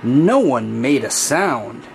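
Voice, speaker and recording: A man reading a children's story aloud in a deliberate, singsong storybook manner. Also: medium pitch, very animated, gravelly, background noise. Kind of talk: scripted children's read-aloud narration.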